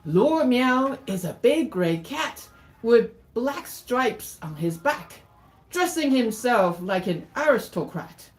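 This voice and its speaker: A woman's voice: fairly high pitch, sing-song, slow, pitched above the performer's own voice. A woman reading a rhyming picture book aloud as its theatrical narrator, in a declamatory singsong. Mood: storytelling. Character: storybook narrator of mice and a villainous cat, theatrical.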